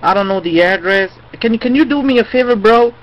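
A man's voice: sits high, brisk. A man making a rambling prank phone call in a hesitant, repetitive, slangy way. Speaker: prank caller. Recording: muffled, noisy phone call recording.

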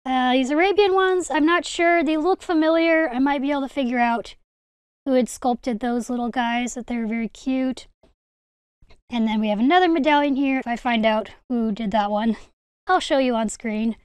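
A young woman presents her collection in a casual, gushing vlog style. Her voice is high and clean, recorded close and dry with very little room sound. She sounds keen and enthusiastic about what she shows. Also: moderate pace, clear, resonant tone, no echo. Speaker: video host, hobby collector. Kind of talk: casual haul vlog narration.

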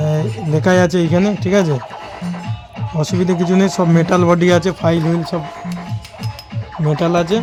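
High-voiced West Bengal man, music behind. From West Bengal, India. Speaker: audio equipment seller and channel host. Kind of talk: casual unboxing vlog talk to viewers.

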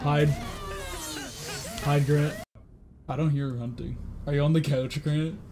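A young man hosting a casual horror game session with friends, excitable and laughing at scares. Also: on the high side, a little slow, music in the background. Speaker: gaming channel host. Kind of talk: casual co-op horror gameplay chat. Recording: noisy game audio behind voice.

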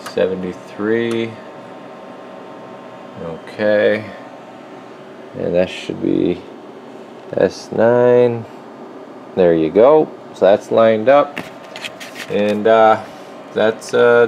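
Deep-voiced, unhurried man with background noise. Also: gravelly, a little mellow, poor audio. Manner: informal workbench slang, thinking aloud. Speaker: radio repair technician presenting his work. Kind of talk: casual hands-on demonstration. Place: indoor electronics workshop. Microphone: handheld camera, speaker behind it.

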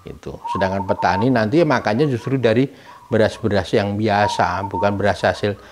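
A man's voice: fairly low pitch, moderate pace. A middle-aged man informally explaining a folk tale's meaning in a didactic way, posing questions and answering them himself. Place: room with bare walls, indoors, seated. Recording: clear background noise, birds cooing.

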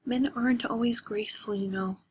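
A woman voicing a gentle, wistful middle-aged mother who speaks softly and tenderly. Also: on the high side, steady tone, fairly quick, a little hiss or hum, natural voice.

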